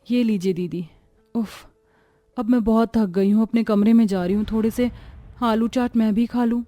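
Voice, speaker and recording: A woman's voice: fairly high pitch, steady tone, moderate pace, dark tone, natural voice. Woman acting a weary, meek young pregnant sister-in-law. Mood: weary, resigned. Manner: weary, polite, complaining. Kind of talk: acted Hindi cartoon moral story.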